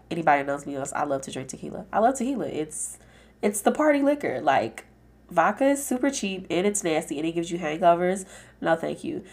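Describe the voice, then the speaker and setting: Raspy, low-voiced woman chatting casually. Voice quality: raspy and nasal. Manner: chatty and frank, with lots of fillers. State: hoarse with a cold. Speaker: podcast host. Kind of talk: casual solo podcast chat.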